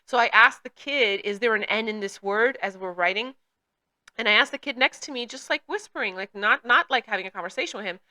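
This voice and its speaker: A woman's voice: fairly high pitch, fairly quick, bright, forceful tone. A woman whose first language is Portuguese, hosting a casual talk and reflectively telling a personal story in English. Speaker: podcast host; works with children.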